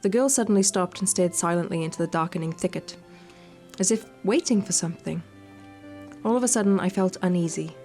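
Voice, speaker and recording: A woman's voice: lowish voice, brisk, darkish tone. A woman doing a visual novel playthrough, reading the text aloud expressively and adding playful asides. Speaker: gaming video creator. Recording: music behind the voice. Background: game music.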